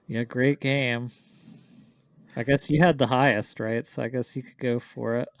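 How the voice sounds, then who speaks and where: A man's voice: medium pitch, steady tone, moderate pace, no echo. A man co-hosting a casual group discussion. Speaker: podcast co-host.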